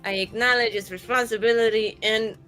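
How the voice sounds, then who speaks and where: Woman playing a sarcastic, high-voiced captive elf mage. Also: fairly even intonation, a little fast, sharp tone, soft background music, poor audio. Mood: mock dutiful. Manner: rambling, sarcastic, slangy and sweary. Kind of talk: improvised in-character roleplay, casual modern speech.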